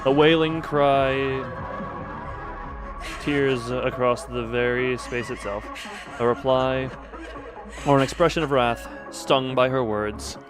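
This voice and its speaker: A man reading game story text as a solemn, remorseful manager recounting events in a deliberate way. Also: mid-range voice, steady tone, over music, close to an everyday voice, poor audio. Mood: dramatic.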